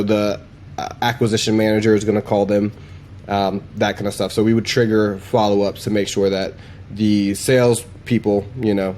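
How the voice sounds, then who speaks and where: A man with a fairly low, very mellow voice. He talks informally and conversationally, like a presenter casually walking viewers through a demo. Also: a little hiss or hum. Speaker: presenter demonstrating AI voice assistants. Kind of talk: casual product demo talk.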